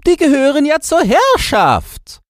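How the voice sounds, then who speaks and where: A man voicing a boastful, nostalgic old chained dog in a shrill, yapping falsetto for a storybook. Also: wildly swooping pitch, unhurried, dry recording, studio quality, heavily acted. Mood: knowing, gruffly boastful. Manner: knowing, exclamatory, barking.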